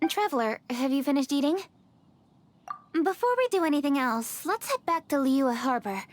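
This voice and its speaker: A woman's voice: high-pitched, expressive, normal speed, slight background noise. An actress playing a poised, formal young woman in an anime-style video game, articulate and composed. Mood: measured, polite.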